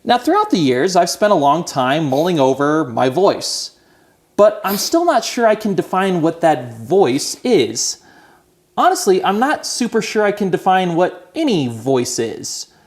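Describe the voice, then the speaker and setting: A man's voice: high voice, very animated, unhurried. A man in his thirties hosting a podcast, reading a scripted opening and then chatting with guests in a wry, self-deprecating way. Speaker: podcast host. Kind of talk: scripted intro, then casual chat.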